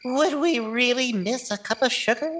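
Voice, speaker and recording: A woman's voice: fairly high pitch, moderate pace, heavy background noise, close to an everyday voice. A woman playing a wry, wisecracking comic sidekick in a 1940s-style radio comedy. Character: wisecracking, jittery comic treasure-hunting sidekick.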